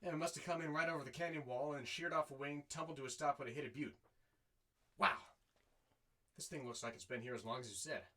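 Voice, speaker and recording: A man's voice: on the high side, fast talker, pushed and bright, slight background noise. A man playing a weary, wry desert courier who narrates his own adventure in an audio drama. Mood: excited. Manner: wry, self-talking. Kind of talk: first-person narration and amateur audio drama acting.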